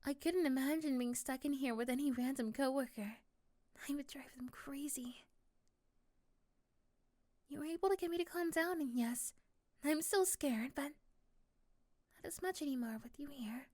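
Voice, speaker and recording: A woman playing a shy, nervous young woman in love in a romantic ASMR roleplay, speaking softly and hesitantly. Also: high-pitched, moderate pace, long pauses, no echo, studio quality, close to an everyday voice. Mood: uneasy, confiding.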